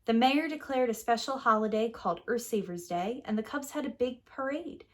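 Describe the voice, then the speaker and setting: A woman teacher reading a picture book aloud to young children in an expressive, clearly phrased storytelling manner. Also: on the high side, normal speed.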